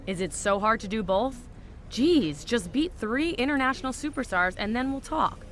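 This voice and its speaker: A woman's voice: fairly high pitch, animated, normal speed, a bit bright. An actress playing a sarcastic, no-nonsense woman general manager in a wrestling video game, dry and authoritative. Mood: stern. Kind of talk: video game cutscene acting.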